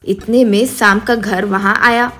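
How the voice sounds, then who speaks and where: A woman's voice: mid-range voice, steady tone, a little slow, sharp tone, soft background music. A woman narrating a scripted storybook tale as the narrator.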